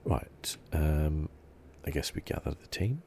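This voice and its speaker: Very deep, creaky man doing ASMR. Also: slow, slight background noise. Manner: soft, relaxed, musing. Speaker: gaming channel host. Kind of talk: ASMR video game playthrough commentary.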